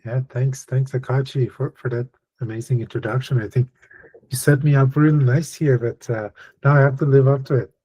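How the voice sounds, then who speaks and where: A man from Iran, in his thirties, speaking as a guest at an online session and telling his career story in a measured, thoughtful way with frequent fillers. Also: mid-range voice, steady tone, mellow, husky, rough recording. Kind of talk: personal story in a webinar talk.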